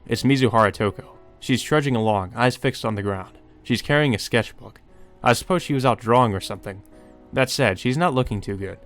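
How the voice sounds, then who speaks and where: A man's voice: mid-range voice, a little fast, a bit bright. A man reading a visual novel aloud as narration for a playthrough, sometimes stumbling and rereading a line. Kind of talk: narration read aloud from a game. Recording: music behind the voice. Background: game music.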